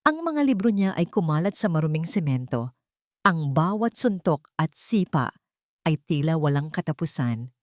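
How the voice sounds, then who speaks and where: A woman storyteller with a fairly low voice and very lively, swinging intonation. She narrates in an expressive, theatrical way, recorded close and dry. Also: moderate pace, no room sound. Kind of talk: dramatic scripted story narration.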